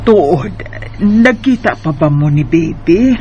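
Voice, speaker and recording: A man puts on an elderly, wistful grandmother with a Bisaya accent in a radio drama. The voice is high and takes its time, gentle and caring, with a little noise in the recording. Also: lively intonation, takes it slowly, lo-fi sound. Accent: Filipino, Bisaya. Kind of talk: amateur radio drama acting.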